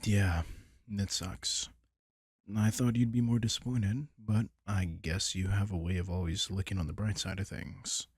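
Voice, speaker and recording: A man playing a caring, wry vampire king in an intimate comfort roleplay audio drama, talking casually and softly with dry teasing. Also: on the low side, steady tone, measured pace, husky, no room sound. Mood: gentle, sympathetic.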